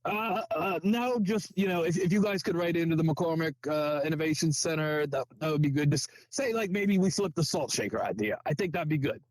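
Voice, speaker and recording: Man putting on a high-pitched drunk, crude caller. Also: a little fast, hardly any pauses, no echo, close to an everyday voice. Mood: rambling, chummy. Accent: inconsistent put-on accent. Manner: stammering, rambling, chuckling, exclaiming. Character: drunk, crude, chummy Greek-Italian janitor caller. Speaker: caller on a live comedy show. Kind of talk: improvised comic call-in.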